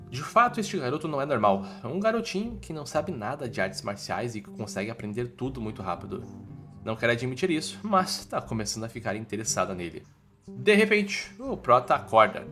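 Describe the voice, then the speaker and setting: A man's voice: mid-range voice, fairly bright tone. A man with a Brazilian accent narrates a story recap in an informal, dramatic way, with colloquial asides.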